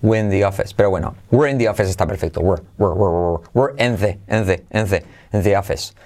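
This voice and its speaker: A man leads a lively pronunciation lesson. He says phrases slowly, repeats them again and again, and throws in playful asides between drills. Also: deep voice. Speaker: English teacher.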